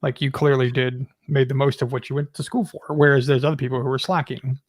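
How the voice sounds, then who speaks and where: A man's voice: medium pitch, steady tone, fast talker, slight background noise. A man, a panelist explaining things in casual conversation.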